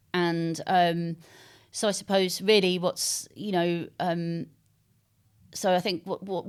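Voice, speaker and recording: Smooth-voiced woman, thoughtful and halting. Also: lowish voice. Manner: thoughtful, halting, searching for words. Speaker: interviewed novelist. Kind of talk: literary interview, unscripted conversation.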